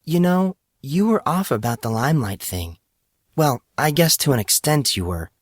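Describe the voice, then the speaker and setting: A man voicing an earnest, embarrassed teenage schoolgirl in audiobook dialogue, sometimes halting. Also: on the high side, animated, no room sound, studio quality, voice pushed up. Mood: wistful, embarrassed. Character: remorseful, embarrassed teenage schoolgirl who loves singing.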